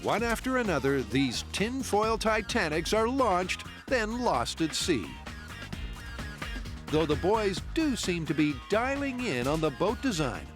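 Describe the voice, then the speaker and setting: Man, scripted narration, higher voice, animated, wry, music behind. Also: unhurried. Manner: wry, punny voice-over.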